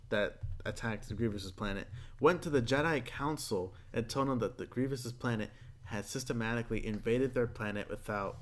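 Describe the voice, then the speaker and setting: A man hosting a casual podcast, retelling stories in a rambling, profane, animated way. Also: medium pitch, slight background noise. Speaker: podcast host.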